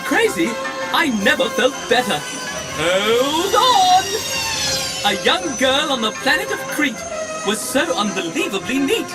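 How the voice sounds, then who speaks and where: An actor playing a prissy, scrambled, recklessly giddy humanoid droid in an audio drama, with a fussy, theatrical delivery and music behind him. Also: male voice, very high-pitched, sing-song, a little slow, full character voice, low-quality recording.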